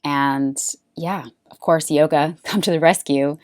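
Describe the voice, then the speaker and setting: A woman from California who hosts a face yoga podcast, telling her story in a relaxed, chatty way with lots of fillers. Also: sits low, unhurried, dry recording, clean studio sound. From Bay Area, California. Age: late 20s or older. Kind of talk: casual personal storytelling monologue.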